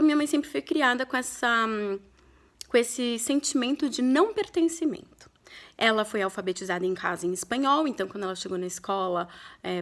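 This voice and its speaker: A woman in her thirties from Brazil, telling a personal family story to her followers in a candid, reflective way, pausing and restarting often. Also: on the high side. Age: 30s. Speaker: vlogger.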